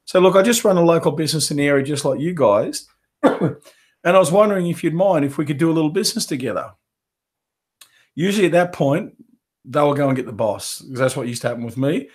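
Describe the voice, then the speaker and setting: A man's voice: animated, fast. Man with a head cold teaching. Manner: coughs and clears throat often; acts out sales pitches in quoted dialogue. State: head cold, losing his voice. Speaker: business coach. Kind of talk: live webinar teaching.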